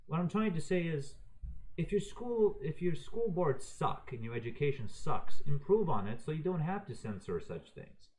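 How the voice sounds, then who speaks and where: A man's voice: a little high, big pitch swings, moderate pace, a little mellow, slight background noise, rough recording. A man raised in Croatia giving an unscripted, heated and profane opinion rant, talking to his viewers in an exasperated way. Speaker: video ranter.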